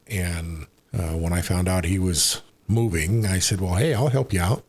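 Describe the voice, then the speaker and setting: A man in his sixties with an American accent, chatting casually as a podcast guest in a rambling, unhurried way. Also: sits low, husky. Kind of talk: casual conversational interview.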